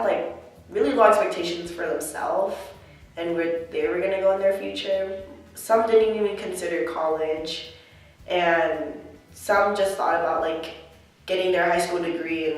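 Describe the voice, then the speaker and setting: A young woman from the United States answering interview questions about her life as a college student, reflective and earnest, with plenty of filler words. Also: fairly low pitch. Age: young adult. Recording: echoey room, mic at a distance.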